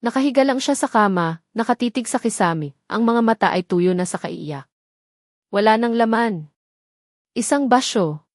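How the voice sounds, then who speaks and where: A woman's voice: a little high, expressive, normal speed, pauses often, no echo, clean studio sound. A woman with a Filipino accent reading a scripted dramatic story as its narrator.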